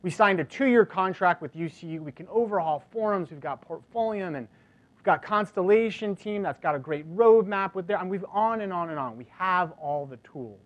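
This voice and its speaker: A man in his forties giving a casual, energetic conference keynote, chatty and full of "like" fillers, in a large lecture hall. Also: high voice, normal speed. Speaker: keynote speaker. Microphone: lapel mic.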